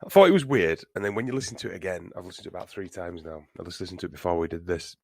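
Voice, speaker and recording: Male co-host, very deep, hoarse and croaky, halting delivery, dry mic. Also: no room sound. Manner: hesitant, halting with fillers. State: hoarse from a chesty cold. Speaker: podcast co-host. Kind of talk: casual chat.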